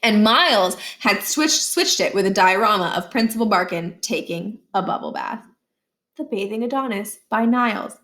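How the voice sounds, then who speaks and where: A woman reads a children's story aloud at a medium pitch. Her narration is expressive, with deliberate pauses that set off short, emphatic phrases. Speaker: read-aloud reader.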